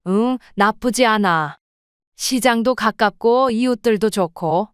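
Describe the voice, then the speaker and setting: A woman voicing a calm, firm mother in her seventies in an audio drama, speaking plainly and steadily. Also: a little high, unhurried, smooth, dry recording, studio quality, lightly acted. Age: 70s.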